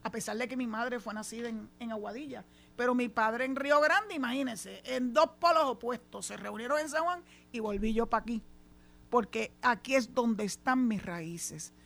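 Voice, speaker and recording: A woman's voice: a little high, moderate pace, vocal fry, a bit bright. A middle-aged woman with a Puerto Rican accent hosting a radio talk show, outspoken and emphatic. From Puerto Rico. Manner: outspoken, emphatic, laughs easily. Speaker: radio host. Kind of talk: casual on-air radio chat. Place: radio studio.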